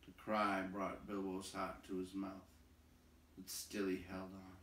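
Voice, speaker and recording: A man reading a story aloud in an expressive, deliberate, dramatic narration. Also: low-pitched. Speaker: storybook reader. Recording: roomy, distant, faint background noise.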